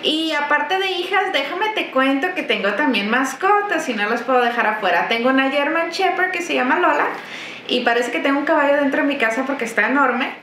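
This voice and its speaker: High, bright-voiced woman, chatty guest in a roomy office. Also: animated, fairly quick. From Texas–Mexico border. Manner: chatty, warm, laughs often. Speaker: business owner guest. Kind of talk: interview answers. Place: office, seated at desk. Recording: roomy office with faint noise.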